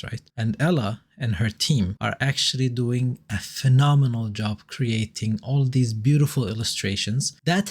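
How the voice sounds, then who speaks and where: Male host, upbeat scripted podcast intro, mid pitch. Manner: upbeat, rhetorical questions.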